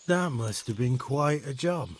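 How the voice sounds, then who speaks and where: Sardonic thief, animated delivery. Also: male voice, medium pitch, unhurried, slightly rough. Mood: grudging approval. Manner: sardonic, blunt. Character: brash, sardonic, suspicious medieval thief, adult man. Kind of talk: video game cutscene acting.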